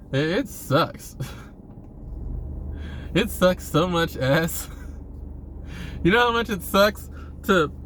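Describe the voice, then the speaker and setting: A man giving a casual, rambling monologue to viewers, halting and repeating himself, with informal, sweary phrasing. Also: on the high side, a little hiss or hum. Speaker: vlogger.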